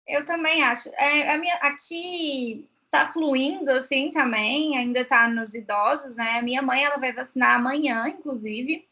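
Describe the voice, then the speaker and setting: A woman's voice: high-pitched, normal speed, few pauses, sharp tone, highs cut off. A woman with a Brazilian accent chatting casually as a host. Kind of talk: casual chat.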